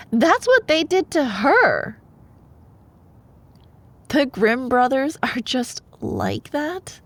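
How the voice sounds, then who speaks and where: A woman in an ASMR bedtime storytelling style, expressive and playful, like a girlfriend reading aloud. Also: sits high.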